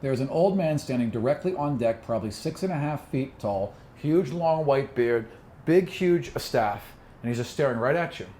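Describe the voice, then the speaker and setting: A man narrating a tabletop role-playing game as game master, improvising in a casual, animated way. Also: medium pitch, moderate pace. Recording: faint background noise.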